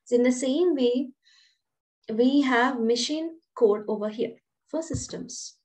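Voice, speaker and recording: Female coding instructor, high voice, quizzing tone, video call mic. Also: takes it slowly, darkish tone. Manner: questioning, explains step by step with repeated "right?". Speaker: programming instructor. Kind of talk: online class lecture. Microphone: webcam on a video call.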